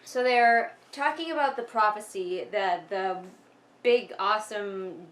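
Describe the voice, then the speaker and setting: A woman's voice: mid-range voice, slow pace, fairly bright tone. A woman giving casual, sarcastic book commentary in an emphatic, exasperated manner, sometimes reading passages aloud. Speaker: vlogger doing a critical book read-along.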